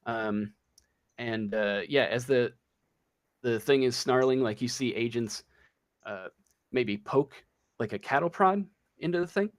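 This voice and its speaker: A man narrating a tabletop role-playing game as game master, improvising scenes in a halting, hesitant way. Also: medium pitch. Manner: halting, hesitant, feeling for words.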